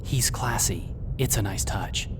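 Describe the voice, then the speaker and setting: A woman's voice: a bass voice, measured pace, long reverb tail, background noise. A woman voicing a brash, profane female mercenary commander who banters with her crew.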